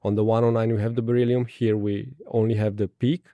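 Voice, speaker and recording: A man with a Romanian accent speaks in a low, mellow voice. He gives brief, measured technical answers in an interview, recorded dry and close. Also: level tone, no echo. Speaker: guest.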